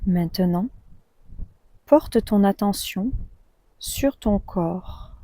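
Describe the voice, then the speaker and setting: A woman leads a guided relaxation with a soft, mellow tone. She speaks very slowly and calmly, leaving long pauses between phrases. The recording is close and dry. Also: medium pitch, dry recording. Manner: calm, soothing, unhurried with long pauses. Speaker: yoga nidra guide.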